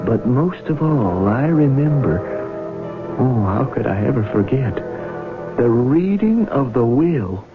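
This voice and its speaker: A man's voice: mid-range voice, very animated, slow. A man acting an earnest, haunted young farm heir in a 1970s radio drama. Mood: wistful. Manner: earnest, reflective. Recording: thin phone-like old radio broadcast, music.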